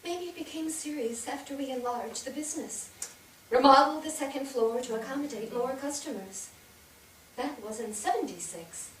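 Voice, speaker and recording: A woman playing a grieving older widowed mother in a staged reading, with a plaintive, halting delivery full of shame and regret. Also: high-pitched, a crowd in the background, natural voice. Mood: sad, reflective. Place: theatre stage, picked up from far off. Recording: distant echoey theatre, noticeable noise.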